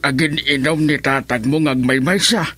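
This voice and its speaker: Lalaki nga agak-akto iti nalawag ti timekna a lolo. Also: male voice, mid-range voice, normal speed, sharp tone, faint music behind, lightly acted. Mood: easygoing, reassuring. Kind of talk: Ilocano radio drama acting.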